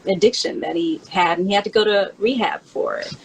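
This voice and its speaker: A woman's voice: medium pitch, a little fast, slight background noise, low-quality recording. A woman in a casual conversation, reminiscing in a candid, conversational way. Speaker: host and memoir author counting down favorite songs. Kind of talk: casual chat, personal reminiscing.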